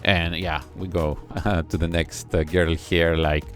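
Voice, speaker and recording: A man giving a casual reaction and analysis of a music video. He explains things step by step in an unhurried way, with many pauses. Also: sits low, little pitch movement.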